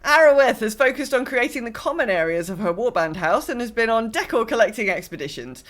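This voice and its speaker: A woman's voice: on the high side, lively intonation, brisk. A woman co-hosting a podcast, chatting casually about online games in a wry, self-deprecating way. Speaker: podcast co-host.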